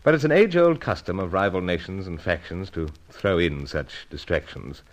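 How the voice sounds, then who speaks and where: An actor playing a suave, wry British secret agent in a radio serial, with urbane, old-fashioned gallant phrasing. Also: male voice, deep voice, expressive, normal speed. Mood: wry storytelling. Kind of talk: radio serial acting with first-person narration.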